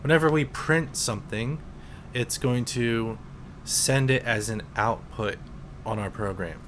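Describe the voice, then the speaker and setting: A man in his twenties, a teacher, calmly explaining programming in a casual screen-recorded tutorial with some fillers. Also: medium pitch, slight background noise. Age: 20s. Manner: slow, relaxed explaining with fillers.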